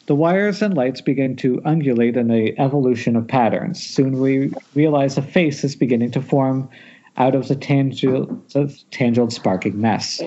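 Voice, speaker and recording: A man's voice: mid-range voice, mellow. Muffled man reading horror screenplay directions. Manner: stumbles over words, self-correcting. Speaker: stage-direction reader. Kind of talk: reading a horror screenplay aloud at amateur table read. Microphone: internet voice call. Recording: muffled internet call audio.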